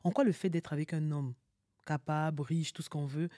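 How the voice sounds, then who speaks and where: A woman's voice: low voice, fairly even intonation, normal speed, warm tone, no room sound, studio quality. A woman in a casual debate, speaking colloquially and insistently, challenging the others as a panel guest.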